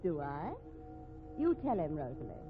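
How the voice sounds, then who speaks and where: An actress playing a cool, unflappable widow under suspicion in a 1950s radio mystery, arch, haughty and drily mocking. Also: female voice, low voice, sing-song, normal speed, soft background music. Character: cool, sardonic, unflappable widow, a murder suspect. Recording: noisy, tinny old radio recording.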